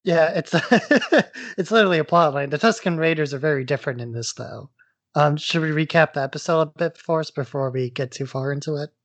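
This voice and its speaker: A man's voice: a little high, fairly quick. A man co-hosting a podcast with casual, conversational commentary and a wry, informal way of talking. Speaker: podcast co-host.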